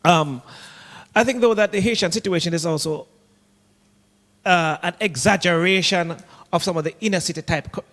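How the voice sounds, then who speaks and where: A man with a somewhat high voice gives measured, analytical answers as a panelist in an online academic discussion. He speaks over a video call, and a long reverb trails his words. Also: a bit bright.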